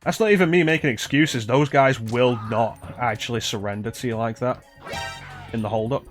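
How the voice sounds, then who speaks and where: A man's voice: mid-range voice, moderate pace. A man giving casual, joking live commentary as he streams a video game, with some swearing. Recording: music behind the voice. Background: video game music.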